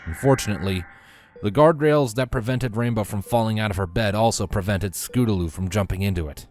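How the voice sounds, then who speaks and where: A man's voice: lowish voice, brisk, darkish tone. A man reading a scripted story as its narrator. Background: music.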